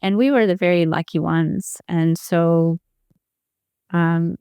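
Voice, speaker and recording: A woman, a former psychiatric nurse telling her story as a guest in a thoughtful, soft-spoken way. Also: fairly low pitch, fairly even intonation, slow, clean tone, no echo. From Ethiopia. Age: 40s. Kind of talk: personal storytelling in an interview.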